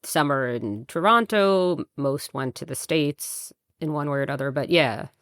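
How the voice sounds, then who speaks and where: A woman in her thirties hosts a casual interview in a notably low voice. She talks in a chatty, loose way, filling her questions with "like" and "you know." The sound is close and dry. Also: measured pace, fairly bright tone, dry recording. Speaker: interviewer, podcast host.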